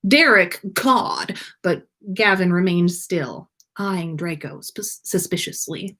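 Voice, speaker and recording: A woman's voice: fairly low pitch, lively intonation, slow, fairly bright tone. A woman hosting a story livestream, reading aloud and chatting in a playful, informal way. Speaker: podcast host and story reader. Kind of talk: storytelling read-aloud and casual livestream chat.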